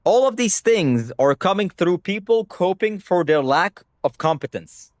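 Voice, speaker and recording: A man's voice: high voice, expressive, measured pace, no echo, clean studio sound. A young man whose first language is Hebrew, talking bluntly to his stream chat and swearing freely. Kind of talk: casual livestream rant.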